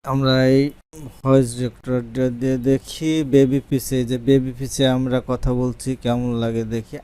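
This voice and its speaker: A man gives an informal, halting, explanatory software tutorial over a screen recording. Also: medium pitch, flat delivery, clean tone. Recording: echoey room, distant microphone at times.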